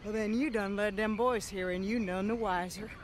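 A woman's voice: medium pitch, steady tone, normal speed, background noise, natural voice. An actress playing a tough, wily female Wild West gunslinger in a video game, with a sardonic drawl. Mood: wry, defiant. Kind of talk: video game cutscene acting. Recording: game audio under prominent music.

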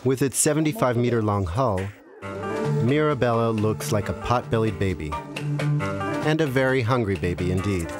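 A man's voice: medium pitch, moderate pace. A man reading scripted documentary narration as the narrator. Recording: music bed under voice. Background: music.

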